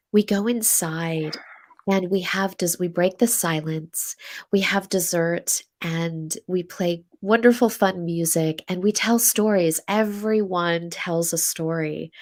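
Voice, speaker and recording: An American woman speaks with a congested, stuffy nose from a cold. Her voice sits a little low for a woman. She chats loosely as an interview guest, with many fillers and restarts. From USA. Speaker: podcast guest, coach. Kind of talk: casual interview storytelling.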